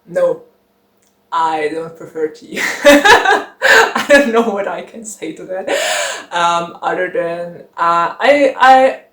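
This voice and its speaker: Slow, chatty young woman. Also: on the low side, sing-song, fairly bright tone. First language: German. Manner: chatty, giggly, rambling with many fillers. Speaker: book channel host. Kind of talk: casual chat to camera. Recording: roomy echo.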